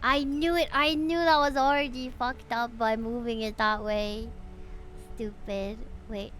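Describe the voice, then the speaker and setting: A woman's voice: a little high, steady tone, a little slow, fairly bright tone. A young woman from the Philippines streaming a game, muttering to herself and swearing as she works through a puzzle. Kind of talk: casual gaming stream commentary.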